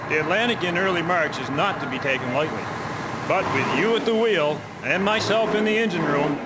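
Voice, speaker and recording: A man playing a seasoned, steady master mariner in an audio drama, plain-spoken and stoic. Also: fairly high pitch, brisk, natural voice. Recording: loud storm and engine noise behind.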